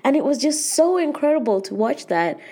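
A woman's voice: sits high, very animated, fairly quick, warm tone. A young woman with a cold and a nasal, congested voice, hosting her own podcast as a chatty, earnest solo talk with lots of fillers. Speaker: podcast host. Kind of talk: casual solo podcast chat.

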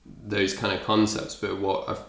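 A man's voice: fairly low pitch, monotone, a little slow, vocal fry, fairly bright tone. A man hosting a podcast in a thoughtful, rambling casual chat with many fillers. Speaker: podcast host. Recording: echoey room.

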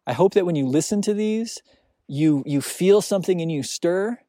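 Man, podcast host, soothing voice, halting and searching, fairly high pitch, dry close sound, outdoors on a hike. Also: expressive, mellow, no room sound. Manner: halting, searching, with many restarts and fillers. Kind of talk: reflective solo monologue. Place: outdoors on a snowy mountain hike.

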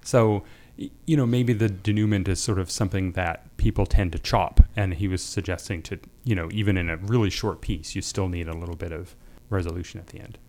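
A man's voice: deep voice, brisk, mellow. A man speaking as a guest writer, discussing and reading short fiction. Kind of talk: panel chat and reading own short fiction.

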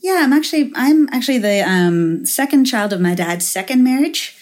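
A woman's voice: on the high side, animated, moderate pace, a little mellow. A middle-aged woman from Finland, a venture capitalist guest in an interview, talking thoughtfully with many fillers and restarts. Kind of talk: interview conversation.

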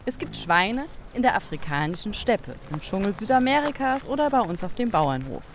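A woman's voice: medium pitch, very animated, normal speed, slight background noise. A woman co-hosting a children's science podcast, playful and explanatory in a scripted audio play. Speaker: podcast co-host.

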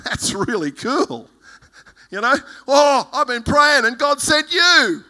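A man preaching a fervent sermon as pastor, with a loud voice, reading scripture verses aloud. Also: very high voice, big pitch swings, takes it slowly.

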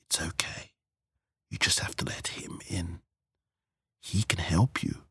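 A man voicing an imperious middle-aged society chairwoman, pompous, deliberate and pious in a comic story. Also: fairly low pitch, very animated, no echo, pitched above the performer's own voice, heavily acted. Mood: soothing, pious.

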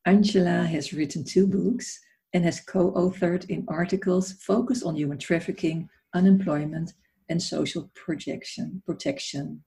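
A woman's voice: lowish voice, steady tone, unhurried, creaky. A woman hosting an interview podcast, introducing her guest and asking questions in an admiring, conversational way. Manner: warmly admiring, conversational with restarts. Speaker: podcast host.

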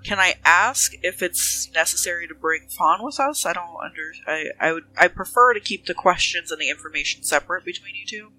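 A woman playing a businesslike detective in a tabletop game, questioning in a measured, probing way. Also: fairly low pitch, animated, pushed and bright, rough recording. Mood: cautious.

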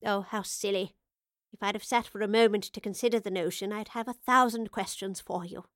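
A woman reading as a serene old professor at the end of a life of adventure. She speaks slowly and gently, with a soft, knowing lilt. The sound is close and dry, with no room around it. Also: medium pitch, fairly even intonation, no room sound, natural voice. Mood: wistful. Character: elderly woman professor, calm and wistful.

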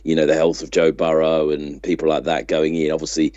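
A man's voice: a bass voice, completely flat delivery, fairly quick, warm tone, no room sound. A man co-hosting a sports podcast, casually giving his opinions, on slightly dull lo-fi audio. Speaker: podcast co-host.

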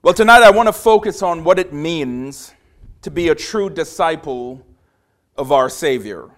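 A man in his forties from Trinidad delivers a sermon in a small room. His voice is big and passionate, and he speaks with frequent pauses and fillers as he builds up steam. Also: a little high, lively intonation. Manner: passionate, grows louder as he preaches. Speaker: visiting preacher.

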